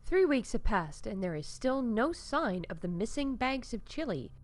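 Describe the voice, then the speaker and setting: A woman reading scripted comedic announcements in a playful, mock-official deadpan as the announcer of a news show. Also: fairly high pitch, normal speed, faint music behind. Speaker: announcer of a fictional theme park news show.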